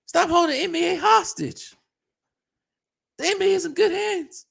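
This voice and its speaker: A man giving a mocking, scornful basketball rant, his voice going hoarse. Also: extremely high pitch, normal speed, fairly bright tone. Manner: mocking, scornful, pleading in jest. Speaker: sports commentator. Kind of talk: ranting basketball commentary.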